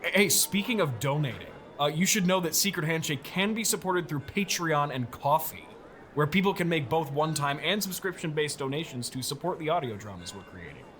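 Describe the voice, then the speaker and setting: A man's voice: on the high side, expressive, a little fast, a bit bright, a little hiss or hum. A man with an American accent speaking casually and dryly in scripted comedic dialogue, as a company founder. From United States. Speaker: audio drama company founder.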